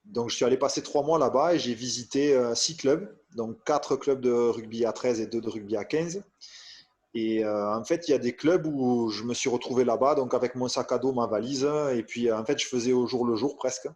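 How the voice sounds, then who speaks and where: A man's voice: medium pitch, fairly quick, a little mellow. A French man in his thirties, a strength coach, telling his career story as a podcast guest, with frequent hesitations, fillers and restarts. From France. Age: 30s. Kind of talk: casual interview storytelling.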